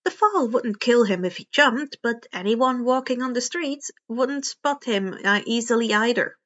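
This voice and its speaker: A woman's voice: on the high side, measured pace, a bit bright. A woman reading fan fiction aloud as narrator, now and then stumbling and rereading phrases. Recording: dull, muffled lo-fi home recording.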